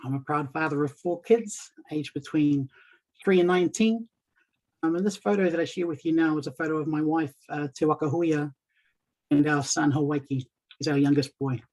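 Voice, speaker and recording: A man in his thirties with a New Zealand accent, a community coach giving a warm, soft-spoken webinar talk over a video call. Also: on the high side, normal speed, rough recording. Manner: soft-spoken, warm, with Samoan and Māori greetings. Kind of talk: presenting in a webinar.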